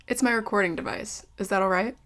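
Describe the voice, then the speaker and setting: An actress playing a polite, earnest young investigative reporter with an American accent in an audio drama. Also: female voice, medium pitch, quick pace, fairly bright tone, natural voice. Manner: polite, wry. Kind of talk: audio drama acting, narrated recorder diary.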